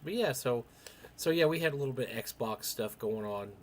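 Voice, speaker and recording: A man's voice: medium pitch, normal speed. An older man with a young-sounding voice co-hosting a live stream, rambling through casual anecdotes and acting out bits of dialogue. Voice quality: young-sounding for his age. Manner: chatty, animated retelling with quoted dialogue.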